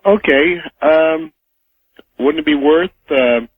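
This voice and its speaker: A man calling a radio show, talking in a hesitant, halting way with many pauses. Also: on the high side, slightly rough, dry recording. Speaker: phone caller to a radio show. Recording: dull, dry phone line on radio.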